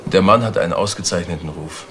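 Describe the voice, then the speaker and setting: Actor as low-voiced businesslike police detective, noisy recording. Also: male voice, quick pace, natural voice. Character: matter-of-fact male police detective on duty. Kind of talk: German crime radio drama acting. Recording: noisy retro radio play recording.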